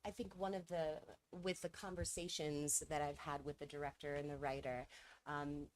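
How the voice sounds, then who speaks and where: A woman's voice: sits low, little pitch movement, moderate pace. A woman speaking for the film's team at a press conference, explaining the film in fluent, articulate English.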